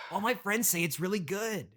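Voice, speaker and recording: Man as smug teenage boy, high bright voice, taunting, dismissive, comedy podcast. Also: a little slow, full character voice. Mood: mocking. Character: bratty, mocking fourteen-or-fifteen-year-old teenage son. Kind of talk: improvised comedy podcast interview.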